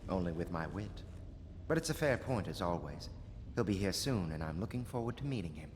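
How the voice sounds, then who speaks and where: A man's voice: sits low, very animated, fairly quick, slight background noise. An actor playing a refined, dry-witted nobleman among conspirators in a video game. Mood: wry. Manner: genteel, dry, polite. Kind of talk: scripted video game dialogue. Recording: long hall reverb under music.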